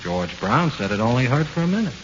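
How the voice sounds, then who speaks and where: A man's voice: medium pitch, big pitch swings, brisk, natural voice. An actor playing a dead man's ghost, a cold, sly American tough guy, slangy and coaxing, in a 1940s radio horror play. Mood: goading. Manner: slangy, matter-of-fact, coaxing. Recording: muffled, hissy 1940s radio recording.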